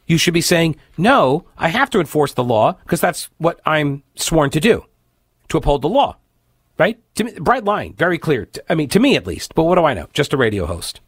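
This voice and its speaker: A man's voice: on the high side, very animated, normal speed, breathy edge, dry recording, clean studio sound. Stuffed-up sarcastic male radio host. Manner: sarcastic, opinionated, reads news aloud with asides. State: raspy, stuffed-up voice from a cold. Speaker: radio talk show host. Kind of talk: talk radio commentary. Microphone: studio microphone, dry.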